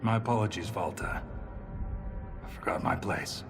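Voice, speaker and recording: A blunt dwarven soldier, loud music behind. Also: male voice, low-pitched, normal speed, vocal fry. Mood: apologetic. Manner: blunt, sardonic. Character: gruff dwarven male warrior, traditionalist, blunt soldier. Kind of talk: video game cutscene acting. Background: game music.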